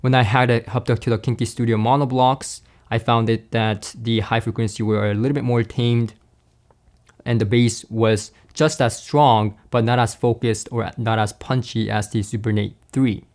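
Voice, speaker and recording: Young male review host, mid-pitched, conversational and measured, treated indoor studio. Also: steady tone, a little mellow. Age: young adult. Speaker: video host and audio equipment reviewer. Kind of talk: presenter-style product review to camera. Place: small indoor studio room with acoustic wall treatment.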